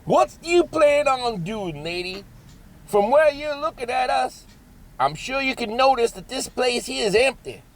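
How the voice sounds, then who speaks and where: A man's voice: extremely high pitch, animated, a little slow. A man acting a cocky, folksy backwoods monster hunter in a comedy horror audio drama, rambling in a drawl with mangled grammar. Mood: wisecracking.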